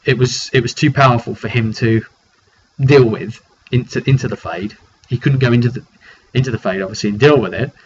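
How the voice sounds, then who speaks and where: A British man recaps a tabletop roleplay in a casual storytelling style. His mid-pitched voice moves along in a rambling, halting way, pausing partway through sentences. The sound is dull and lo-fi, with slight noise behind him. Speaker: tabletop roleplay game narrator recapping a session. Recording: dull lo-fi recording with background noise.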